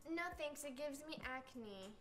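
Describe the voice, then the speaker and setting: A young woman with a high voice performs in a comedy sketch. Her delivery is playful, and she complains dramatically for laughs. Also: lively intonation, unhurried. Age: young adult.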